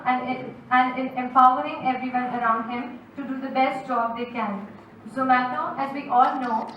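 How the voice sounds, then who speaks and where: A woman's voice: high voice, fairly bright tone, a little hiss or hum. A woman hosting a university event, formally reading a prepared introduction of a guest. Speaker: event host. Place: university lecture hall. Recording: echoey hall from far off.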